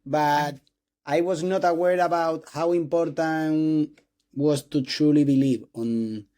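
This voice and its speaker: A man in his twenties from Spain, speaking English with a Spanish accent as a non-native speaker, hosting an interview with halting restarts and frequent throat clearing. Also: on the high side, fairly even intonation, dark tone. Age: 20s. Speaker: host interviewing a doctor. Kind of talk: casual interview talk.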